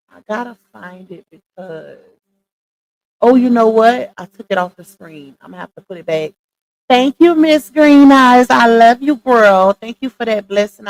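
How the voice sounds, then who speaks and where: A woman's voice: mid-range voice, creaky, poor audio. Chatty woman greeting livestream viewers. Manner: chatty, greets viewers by name, calls them y'all. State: voice going hoarse. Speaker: livestream host. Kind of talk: casual livestream chat.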